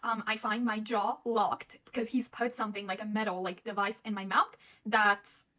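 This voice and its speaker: Young woman telling a story to the camera, mid-pitched voice, rambly, lots of likes and ums. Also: level tone, moderate pace, sharp tone. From Afghanistan. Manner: rambling, full of fillers and restarts. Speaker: dental hygienist.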